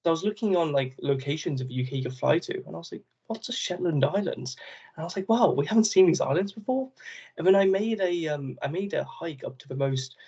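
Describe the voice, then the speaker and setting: A man chatting casually as an interview guest, talking in a rambling, animated way with lots of restarts. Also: a little high, fast, slightly rough, highs cut off. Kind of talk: casual chat.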